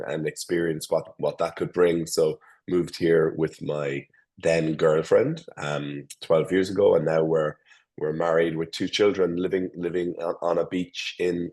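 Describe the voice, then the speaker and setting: Halting, very deep-voiced Irish man. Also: darkish tone. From Ireland. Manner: hesitant, many restarts and fillers. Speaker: guest, tech company founder. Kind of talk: casual interview over a call. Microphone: remote call.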